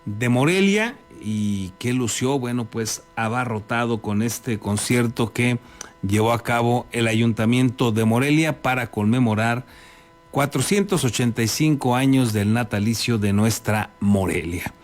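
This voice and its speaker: A man's voice: medium pitch, unhurried, faint music behind. A man with a Mexican accent presenting scripted news as an anchor.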